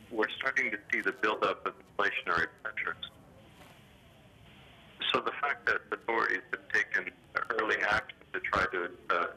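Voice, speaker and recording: A man giving formal, hesitant economic commentary in a phone interview, speaking in a measured, official way over a telephone. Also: lowish voice, breathy edge. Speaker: development bank official being interviewed. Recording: sharp, grainy telephone line.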